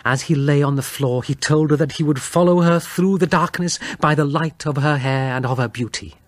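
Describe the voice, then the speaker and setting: A man narrating a horror audiobook in a dramatic, suspenseful, measured storytelling style with deliberate pauses. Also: fairly high pitch, no room sound.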